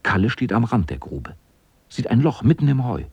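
A man with a husky voice narrating a scripted children's story as the narrator of a radio drama. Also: medium pitch, big pitch swings, normal speed, a little mellow, no echo, dull audio.

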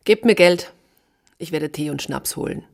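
A husky, scornful tavern prostitute. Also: female voice, sits low, moderate pace. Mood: curt, demanding. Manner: teasing, drawling, scornful. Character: worn provincial prostitute Bożena, mocking and teasing. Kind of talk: German radio drama acting.

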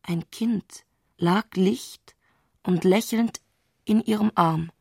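Eine Frau spricht in einem literarischen Hörspiel die introspektive Erzählerin, die mit bedachten Pausen die Gedanken einer aufgewühlten Frau schildert. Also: female voice, mid-range voice, vocal fry, darkish tone, breathy edge, dry recording, clean studio sound, exaggerated voice. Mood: intense, dreamy. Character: intimate, introspective female narrator of a troubled woman's thoughts. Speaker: narrator in a literary radio play. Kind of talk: literary radio drama narration.

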